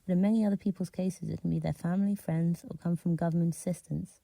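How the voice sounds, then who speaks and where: Female contributor reading a personal essay; very mellow, soothing, with a creaky edge. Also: lowish voice, fairly even intonation, quick pace, no echo. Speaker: listener contributor. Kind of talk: prepared personal essay read aloud.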